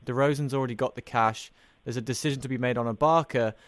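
A man's voice: mid-range voice, fairly even intonation, quick pace, no echo. A man hosting a sports podcast, asking long, opinionated questions in a conversational way.

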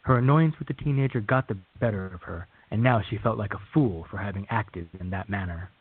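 Narrator for a radio play: male, slightly deep and husky, reading scripted story links. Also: fairly quick, phone-quality sound.

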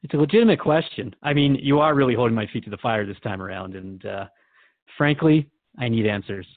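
A man's voice: medium pitch, a little fast, lightly acted. A man playing an earnest, unsettled radio host who asks questions in a conversational, hesitant way in a radio drama. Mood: anxious, pressing. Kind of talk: radio drama dialogue in interview form. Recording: dull, lo-fi sound.

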